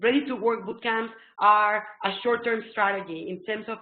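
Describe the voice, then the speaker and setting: A middle-aged woman with a Mexican Spanish accent, a native Spanish speaker, gives a webinar presentation over a laptop webcam. She explains in an excitable way, with many ums. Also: mid-range voice, moderate pace. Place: small indoor room. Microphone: laptop webcam video call. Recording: tinny phone-like echoey webcam call.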